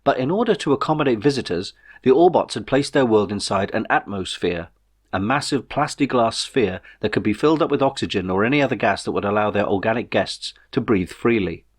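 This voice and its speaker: A man reading his own novel as a scripted audiobook, in a measured storytelling manner. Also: fairly low pitch, expressive.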